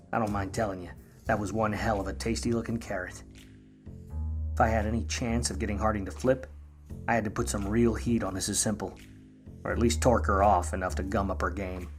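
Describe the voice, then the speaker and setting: Hard-boiled 1950s gumshoe played by a man, slangy and sardonic, deeper register, faint music underneath. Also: close to an everyday voice. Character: grudging wisecracking 1950s male private eye, hard-boiled narrator. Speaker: lead actor and narrator in a radio play. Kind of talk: old Hollywood radio noir acting and narration.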